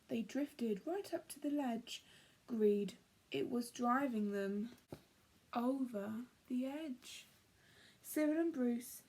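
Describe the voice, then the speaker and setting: A woman reading a rhyming picture book aloud to young children, with dramatic expression and hushed word endings. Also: high voice, breathy edge. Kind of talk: expressive rhyming story narration.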